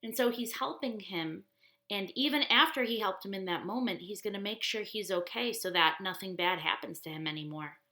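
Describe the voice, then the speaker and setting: A woman reading a children's story aloud expressively, deliberately, explaining things in simple words. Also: medium pitch, sharp tone. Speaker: storybook reader for church children. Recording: roomy, echoey indoor sound.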